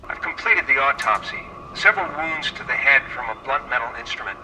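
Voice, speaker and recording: Matter-of-fact coroner, sharp bright tone, faint noise, game dialogue. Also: male voice, mid-range voice, expressive, fairly quick, rough recording.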